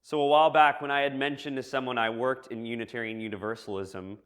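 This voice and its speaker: A man preaching a reflective message to a congregation in a measured, thoughtful way with deliberate pauses. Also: medium pitch, steady tone, a bit bright, clear, resonant tone. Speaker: preacher giving a church message. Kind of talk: reflective sermon addressed to a congregation.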